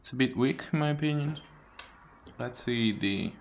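A man giving casual hands-on commentary as a tech hobbyist, in a halting way with pauses between phrases. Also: mid-range voice, slight background noise. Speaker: tech hobbyist demonstrating a phone mod. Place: indoors, at a wooden table.

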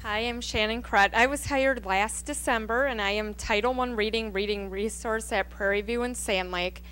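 Woman, 30s, chatty teacher introducing herself into a handheld mic at a meeting; slightly high, faint hum. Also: normal speed, fairly bright tone, rough recording. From Wisconsin. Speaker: reading resource teacher. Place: school board meeting room.

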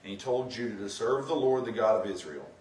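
A middle-aged man giving an informal, somewhat halting sermon as a guest preacher, mixing plain talk with scripture reading. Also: on the low side, animated, a little mellow. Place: church sanctuary, indoors. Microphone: far camera. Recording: roomy, distant from the microphone.

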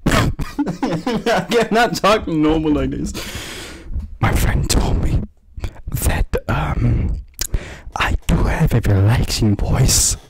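A man's voice: on the high side, drawn-out words, long pauses, noisy background. A young man doing a joking parody of ASMR in his bedroom, laughing and swearing into a handheld mic held close. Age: young adult. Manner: jokey, laughs often, swears. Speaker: creator of his own channel. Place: small bedroom. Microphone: handheld condenser mic held close, headphones.

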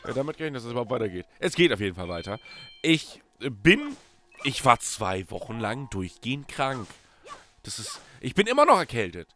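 A young man hosts a let's play in casual, chatty commentary. His voice is still hoarse from a recent cold. His pitch is lively and swings widely, from sudden loud outbursts down to quiet mumbling. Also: brisk, soft background music. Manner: animated, swings from loud bursts to low mutters.

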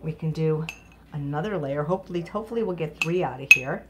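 A woman's voice: low-pitched, fast talker, very noisy. A middle-aged woman giving a casual live cooking demonstration as chef and host, in an enthusiastic, exclaiming manner. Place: home kitchen, indoors. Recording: clattery kitchen, dishes and clicks.

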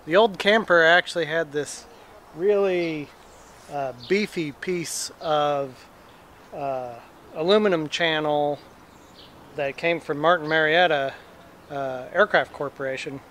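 A man in his thirties casually explaining his camper renovation while he works, with a relaxed, filler-heavy way of talking. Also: a little high, takes it slowly, a little hiss or hum. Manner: relaxed, chatty, many "uh" fillers. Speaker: DIY camper renovation vlogger. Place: backyard outdoors. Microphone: handheld camera close up.

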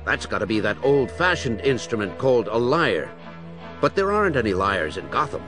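A man's voice: medium pitch, big pitch swings, normal speed, natural voice. An actor playing an earnest, puzzled male police commissioner in an audio drama. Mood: reasoning. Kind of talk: full-cast audio drama acting. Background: dramatic music.

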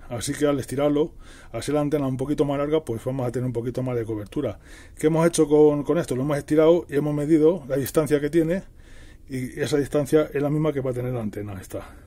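A man's voice: a little high, quick pace, dark tone. A middle-aged man explaining a hands-on task casually, repeating and rephrasing himself as he works. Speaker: DIY tutorial host. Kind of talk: casual hands-on tutorial narration.

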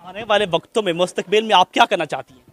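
A man's voice: sits high, very fast, fairly bright tone. A man from Pakistan hosting a street interview, speaking in a formal, polite manner. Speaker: host.

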